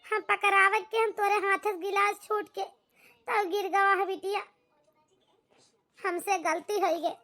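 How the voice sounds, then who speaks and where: Woman doing old awadhi village mom for a cartoon, super high sharp pitched-up voice, humble, pleading, sorry all the time. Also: level tone, unhurried, pauses often, full character voice. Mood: apologetic, pleading. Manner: pleading, repeatedly addressing her daughter tenderly. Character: humble, apologetic old village mother, meek and self-sacrificing. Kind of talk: amateur cartoon comedy-drama acting.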